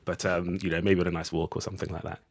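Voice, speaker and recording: Man, interview guest, deep low voice, hesitant casual phrasing, dry sound. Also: flat delivery, very fast, gravelly, breathy edge, no echo. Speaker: podcast guest, playwright.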